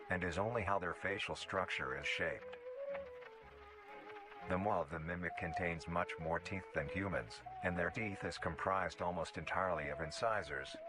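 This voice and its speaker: A man reading scripted narration in the style of a nature documentary, formal, measured and matter-of-fact. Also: sits low, poor audio. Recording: music behind the voice. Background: clearly audible music.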